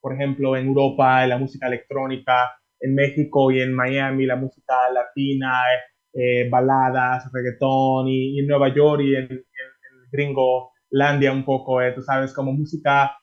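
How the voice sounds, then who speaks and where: A man from the Dominican Republic talks about his music in a chatty, rambling way, full of fillers and restarts. His voice sits in a middle pitch. The audio is dull and lo-fi, like a remote call. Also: monotone, normal speed. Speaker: singer guest. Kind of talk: interview answers.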